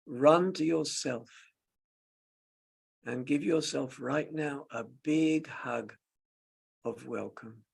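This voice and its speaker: Male meditation teacher, very creaky and husky, speaking gently in short, spaced-out phrases. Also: mid-range voice, slow, long pauses. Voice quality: gravelly, husky. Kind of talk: slow guided meditation with long pauses.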